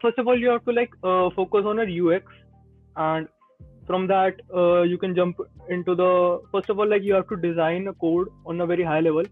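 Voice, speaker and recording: Podcast guest: man in his twenties, Indian accent, high voice, explaining informally over a thin phone-like connection. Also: steady tone, a little fast, dry recording. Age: 20s. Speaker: podcast guest, Android developer. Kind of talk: casual advice in a video-call interview. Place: small indoor room. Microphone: earbuds on a video call. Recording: tinny phone-like call audio, faint music.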